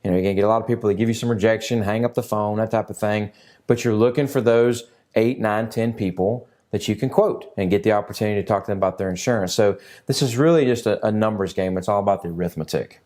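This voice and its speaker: A man with a somewhat deep voice coaches salespeople in a conversational style. He is frank and plainspoken, and often checks that the listener is following along. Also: fast talker, darkish tone. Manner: plainspoken, frank, checks in with "you know" and "right".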